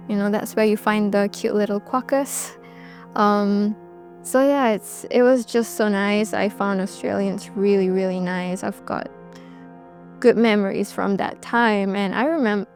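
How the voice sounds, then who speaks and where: Reflective young woman. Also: mid-range voice. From Bangladesh, raised in Singapore. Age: 20s. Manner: relaxed, reflective, with frequent ums and restarts. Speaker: documentary subject. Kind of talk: interview recollection.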